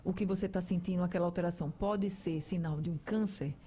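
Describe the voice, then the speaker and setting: A woman's voice: on the low side, fast, warm tone, slight background noise. A woman hosting a radio health talk show, explaining things to listeners in a chatty, conversational way.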